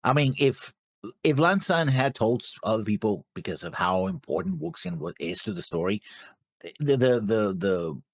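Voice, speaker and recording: Low, husky-voiced man talking haltingly. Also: very animated. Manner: tentative, halting, repeats words. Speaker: co-host of an anime reaction show. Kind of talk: casual discussion of a show.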